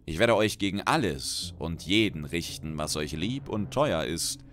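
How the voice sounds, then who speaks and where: A man's voice: low voice, lively intonation, unhurried, fairly bright tone, slight background noise. A man voicing a menacing, grandiose undead female necromancer with a hollow tone in a fantasy audiobook. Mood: gloating threat. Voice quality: hollow-sounding.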